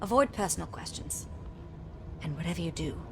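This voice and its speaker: An actress playing a cool, dryly witty intelligence operative in a cinematic video game. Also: female voice, sits low, wildly swooping pitch, a little fast, slight background noise. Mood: frank. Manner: dry, wry. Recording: loud music behind.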